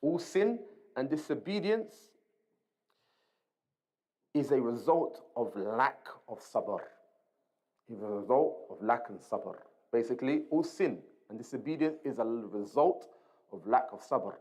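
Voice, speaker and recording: Man, religious teacher, informal lesson, conversational explaining, repeated key phrases, short tag questions, mid pitch. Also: lively intonation, unhurried, pauses often, darkish tone.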